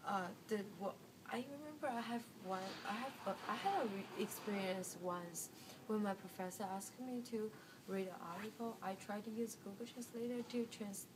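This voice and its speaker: A young woman from China with a Chinese accent, giving a class seminar presentation in a halting way with frequent restarts. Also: medium pitch. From Guiyang, Guizhou, China. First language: Mandarin Chinese. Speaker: student presenter. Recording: distant mic with room noise.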